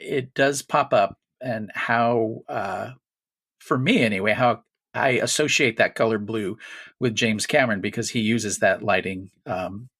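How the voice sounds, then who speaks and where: A man co-hosting a casual film podcast, rambling with frequent restarts and animated bursts. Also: mid-range voice, no room sound, studio quality. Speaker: podcast co-host.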